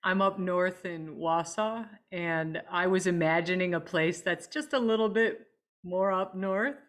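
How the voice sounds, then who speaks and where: A woman's voice: on the low side, measured pace. A woman casually sharing a personal story with a group in a playful, informal way. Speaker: participant in a group listening session.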